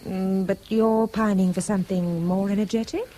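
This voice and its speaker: An actress playing a relaxed Englishwoman in a radio drama, drily and playfully teasing. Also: medium pitch, moderate pace, natural voice, poor audio. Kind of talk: British radio drama acting.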